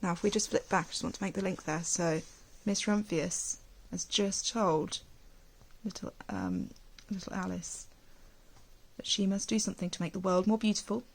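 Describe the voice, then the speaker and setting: A woman teacher reading a storybook aloud to young children, expressively, with gentle questions and asides. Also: lowish voice, animated, normal speed, rough recording.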